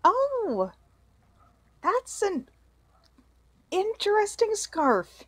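A woman playing a devout, loving mother in a radio play, speaking in a halting, earnest way. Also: extremely high pitch, huge pitch swings, no echo, heavily acted. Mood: awkward, polite. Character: devout, loving, remorseful mother of a grown son.

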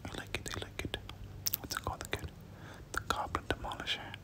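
A person doing whispered ASMR game commentary, speaking casually in gamer slang and often repeating short phrases. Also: fast. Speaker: gameplay commentator.

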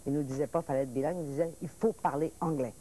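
A Paris-born woman in her sixties with a low, mellow voice, chatting as a TV talk-show guest. Her French blends Parisian and Quebec sounds, and she tells her stories with restarts. Faint tape hiss sits under the studio sound. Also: fast talker, poor audio. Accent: mixed Parisian and Quebec French. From France. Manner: lively storyteller, restarts and laughs, points her words. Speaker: actress guest. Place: TV studio set, seated at table.

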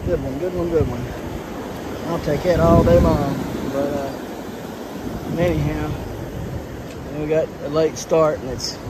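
A man, excitedly narrating an outdoor adventure vlog on a phone outdoors by the water. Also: fairly high pitch, unhurried, pauses often. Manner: excited, folksy and chatty. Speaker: vlogger. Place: outdoors on a windy beach by the water. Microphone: handheld phone. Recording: loud wind noise on a phone mic.

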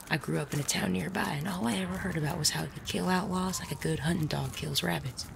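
A woman playing an articulate, sardonic gentleman bandit in a western audio drama. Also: low-pitched, gravelly, noisy background. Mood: wry storytelling. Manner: articulate, persuasive. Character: suave, sardonic gentleman bandit of the Wild West.

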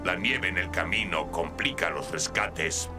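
An actor playing a blunt, serious male rescue robot in an animated cartoon dub. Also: low voice, a little slow, music in the background.

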